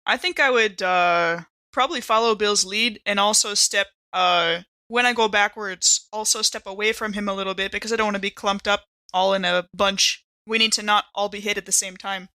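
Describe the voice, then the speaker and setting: A woman's voice: medium pitch, moderate pace, few pauses, bright, forceful tone, no echo. A woman playing a tabletop role-playing game, chatting casually about her character's moves.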